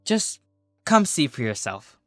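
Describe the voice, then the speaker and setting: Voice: medium pitch, sing-song, slow pace, fairly bright tone, dry recording, clean studio sound, faint music behind. A performer voicing a nervous, exasperated young female cartoon unicorn who is easily flustered and scolding.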